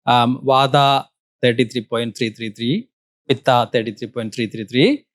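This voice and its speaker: Malayali accent guy, mid voice, explains slow and patient, very dry close sound. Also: mid-range voice, dry recording. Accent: Indian English, Malayali. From Kerala, South India. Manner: patient, explains with examples, repeats "correct". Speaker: Ayurveda practitioner, guest. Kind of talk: explanatory interview talk.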